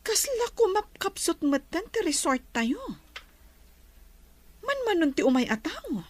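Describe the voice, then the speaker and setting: A woman playing a high, bossy resort madam. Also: very animated, measured pace, heavily acted. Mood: troubled. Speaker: resort owner. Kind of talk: radio drama acting.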